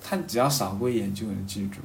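A man with a fairly low voice talks casually and reflectively, feeling his way through each idea and often rephrasing. The room adds a clear echo, and there is faint noise behind him. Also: a little mellow. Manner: halting, rephrases thoughts as he goes.

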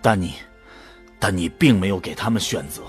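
A man acting the earnest, troubled protagonist of a science fiction radio drama, with a measured dramatic delivery. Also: deep voice, expressive. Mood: accusing. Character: earnest male protagonist, troubled and morally outraged. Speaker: voice actor and narrator in an audio drama. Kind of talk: Chinese sci-fi radio drama, first-person narration. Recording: faint music behind the voice.

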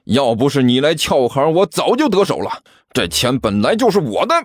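A man voicing a brazen, stubborn, quick-witted hustler in an audiobook, arguing with sarcasm and sneers. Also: medium pitch, sing-song, dry recording. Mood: loud, accusing.

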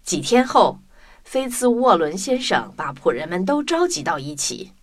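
A woman's voice: mid-range voice, big pitch swings, moderate pace, slight background noise. A woman narrating a scripted children's story, expressively addressing young listeners.

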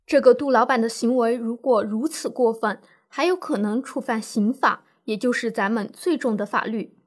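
Woman acting a higher-voiced, composed female lawyer. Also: moderate pace, close to an everyday voice. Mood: explanatory, firm. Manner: composed, explanatory, formal legal phrasing. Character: calm, reassuring female lawyer advising a client. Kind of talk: scripted legal-education radio drama.

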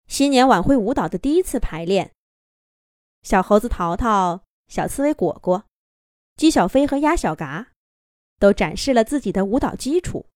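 A woman narrating a children's story in a gentle, expressive, singsong way. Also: mid-range voice, normal speed, no echo, clean studio sound. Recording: soft background music.

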